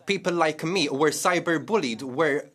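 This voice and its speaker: A man's voice: on the high side, unhurried, a bit bright. A man in a parliamentary debate firing pointed, rhetorical questions. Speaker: parliament member asking a question.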